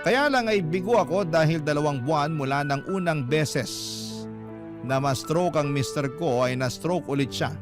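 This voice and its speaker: A man's voice: on the high side, normal speed. A man with a Filipino accent reading a letter dramatically as a radio storyteller. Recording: music behind the voice. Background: music.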